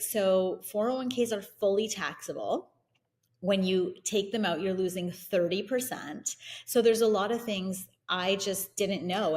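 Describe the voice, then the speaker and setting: A woman whose first language is Italian, telling her investing story as an interview guest in an energetic, fast, filler-heavy way. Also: lowish voice. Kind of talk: casual interview conversation.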